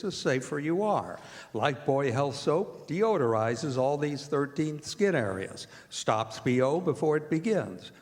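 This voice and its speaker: Male American radio announcer, lively swooping delivery, vintage sponsor-read style, live in a reverberant hall. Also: a little high. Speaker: announcer and director of a radio theatre group. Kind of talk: old-time radio announcing with scripted ad reads. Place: performing arts center stage. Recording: echoey hall with music.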